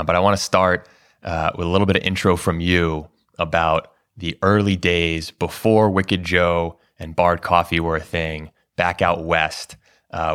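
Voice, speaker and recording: A man's voice: deep voice, a bit bright, smooth. A man hosting a podcast, asking loose, conversational questions. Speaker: podcast host. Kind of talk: casual interview.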